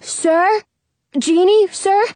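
A woman's voice: very high-pitched, steady tone, drawn-out words, darkish tone, dry recording, pitched above the performer's own voice, full character voice. A woman voicing an eager young boy in a storybook, earnest and childlike. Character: eager young boy, the narrator's little brother.

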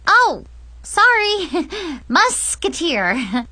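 An excitable teenage storybook heroine, acted out by a woman, eager to please and a little awkward. Her voice is high and bright, jumping about in pitch as she gushes and hesitates. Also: drawn-out words, no room sound, exaggerated voice. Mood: eager, flustered.

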